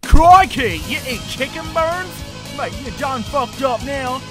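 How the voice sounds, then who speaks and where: A man's voice: very high-pitched, a little slow, loud music behind the voice. A man voicing a cocky, irritable cartoon mage with an exaggerated Australian accent and a sarcastic, exclaiming delivery. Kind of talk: comedic animated voice acting.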